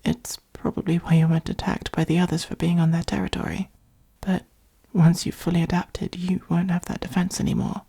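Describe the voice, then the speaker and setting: A woman playing a gentle, protective vampire in a comforting ASMR roleplay, whispering softly and breathily with patient reassurance. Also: fairly low pitch, flat delivery, a little fast. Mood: patient explaining. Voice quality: breathy, creaky. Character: gentle, protective adult vampire caring for a fledgling.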